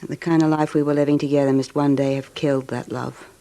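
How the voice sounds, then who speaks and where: Languid, scheming unfaithful wife. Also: female voice, deep voice, little pitch movement, quick pace, warm tone. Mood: intimate, weary. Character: scheming unfaithful wife, intimate and world-weary. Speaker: radio drama actress. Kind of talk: 1970s radio drama acting.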